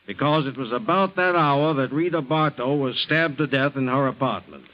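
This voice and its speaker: An insistent police interrogator played by a man in an old radio mystery. He is formal, probing and methodical, with a medium-pitched voice on a dull vintage recording. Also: normal speed, close to an everyday voice. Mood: insistent, accusing. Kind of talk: 1940s radio acting.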